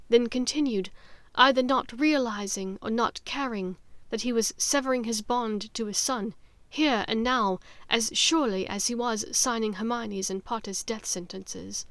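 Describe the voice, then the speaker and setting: A woman's voice: fairly high pitch, normal speed, dry recording. A woman reading scripted fiction as a dramatic audiobook narrator.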